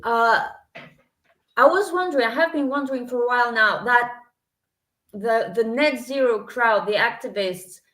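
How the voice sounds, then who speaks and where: A woman co-hosting a podcast panel, her voice a little high and ringing in a roomy space. She thinks aloud in a speculative way and hands questions to the others. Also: a bit bright. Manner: thoughtful, speculative, poses questions. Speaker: podcast co-host. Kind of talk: casual panel chat. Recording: echoey room.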